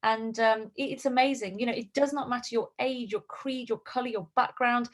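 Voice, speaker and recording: A woman's voice: on the high side, fairly even intonation, brisk. A woman hosting an online group call, upbeat and effusive, introducing students and asking them to share. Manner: upbeat and effusive, repeats words. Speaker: host.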